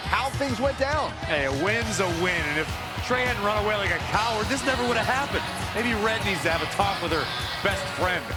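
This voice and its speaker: A man playing an opinionated, excitable wrestling color commentator in a video game, emphatic and argumentative. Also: high-pitched, brisk. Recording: loud music and crowd behind.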